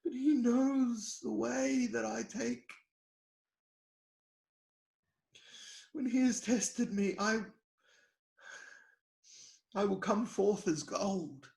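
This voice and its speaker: A man playing an anguished, devout sufferer, bitter yet defiant, in an impassioned, halting dramatic scripture reading. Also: very high voice, vocal fry, full character voice. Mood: subdued, steadfast. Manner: impassioned, halting delivery swinging from cries to hushed lines. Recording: echoey room.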